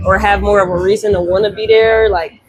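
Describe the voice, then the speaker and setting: A woman's voice: mid-range voice, fairly even intonation, fairly quick, breathy edge. Laid-back young woman, slangy. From Buffalo, New York, East Side. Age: young adult. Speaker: guest artist and performer. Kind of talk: casual interview chat.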